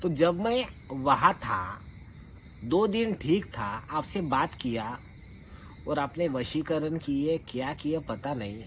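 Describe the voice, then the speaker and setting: Man, slightly high voice, confrontational, colloquial rebuttal monologue, faint chirping noise behind. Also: lively intonation, slow pace, rough recording. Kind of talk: informal spoken rebuttal monologue. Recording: clear background noise with insect-like chirping.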